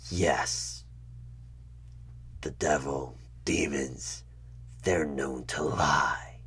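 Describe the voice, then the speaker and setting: Man playing a whispering, very deep, sly demon. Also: a bit bright, slightly rough, exaggerated voice. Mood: sly, defensive, persuasive. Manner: slow, drawn-out, insinuating, persuasive. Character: sly evil male demon recruiter, coaxing and tempting. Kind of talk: horror ASMR one-sided audio roleplay.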